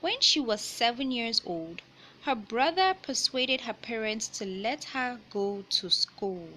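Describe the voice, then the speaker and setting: Woman, bright clear-cut tone, slightly high pitch, children's read-aloud, teacherly explanatory asides, light background noise. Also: lively intonation, rough recording. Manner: deliberate, explanatory, teacherly, repeating key points for young listeners. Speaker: storyteller reading a children's picture book aloud.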